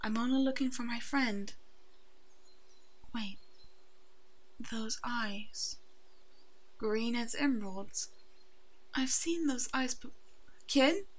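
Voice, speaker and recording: A woman voicing a brave, protective werewolf in an ASMR roleplay, soothing and halting, with a soft, hushed delivery. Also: a little high, low-quality recording. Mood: nervous, cautious.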